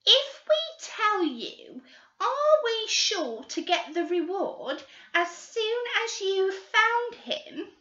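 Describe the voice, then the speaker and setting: A woman voicing two excitable, greedy young sisters who talk in breathless, eager bursts. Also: extremely high pitch, slow pace, voice pushed up, heavily acted. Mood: excited. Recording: roomy, slightly dull recording.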